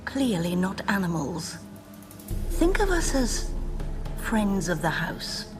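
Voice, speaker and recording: An actress playing an aloof, enigmatic spirit in animal form, speaking in a measured, wry, formal way in a video game. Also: female voice, medium pitch, big pitch swings, over music.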